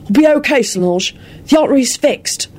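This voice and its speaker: A woman's voice: sits high, lively intonation, moderate pace, darkish tone, pitched above the performer's own voice. Woman doing an earnest young wizard healer. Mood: reassuring.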